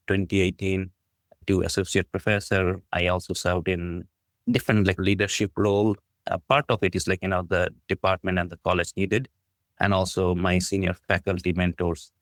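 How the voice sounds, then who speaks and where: Middle-aged man, Bangladeshi accent, deep low voice, earnest, conversational storytelling, podcast guest, dry sound. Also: a bit bright, dry recording. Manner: earnest, appreciative, frequent "like, you know". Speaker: podcast guest, business school dean. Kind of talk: conversational interview answer, telling personal story.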